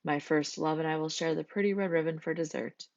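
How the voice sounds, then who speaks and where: A woman voicing a devoted, cheerful gentleman goat in a children's storybook, earnest and polite. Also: low-pitched, fairly even intonation, brisk, no room sound, muffled recording. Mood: pleased.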